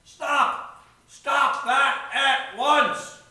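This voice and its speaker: Echoing distant recording, man as big male rooster, storybook, bossy, suspicious, commanding, forceful high voice. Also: unhurried, full character voice. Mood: indignant. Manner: loud, commanding. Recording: echoey room, distant mic, faint noise.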